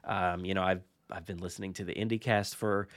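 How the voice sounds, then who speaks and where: A man's voice: deep voice, fairly even intonation, fairly quick, dry recording, studio quality. A man hosting a podcast, talking casually in a rambling, informal and enthusiastic way. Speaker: podcast host.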